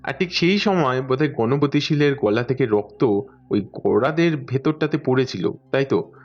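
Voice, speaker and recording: A man playing a composed, probing detective in an audio drama, deliberate and thoughtful as he questions. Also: medium pitch, expressive, lo-fi sound.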